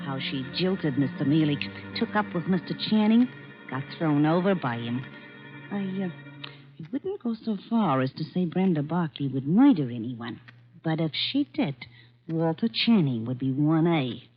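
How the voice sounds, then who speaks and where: A woman's voice: low-pitched, expressive, measured pace. A woman playing a gossipy, pointed office secretary in an old-time radio drama, eager and insinuating. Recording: dull vintage radio recording, music.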